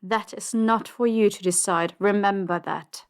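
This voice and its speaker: A woman playing a cold, ruthless crime boss in an audio drama, commanding and dismissive in a measured way. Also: mid-range voice, steady tone, close to an everyday voice. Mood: cool, probing. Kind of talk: audio drama roleplay acting.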